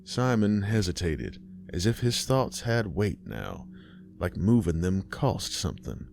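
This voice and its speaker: A man narrating a horror story in a measured, ominous way. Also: lowish voice, expressive, gravelly, darkish tone, a little hiss or hum. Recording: music bed behind.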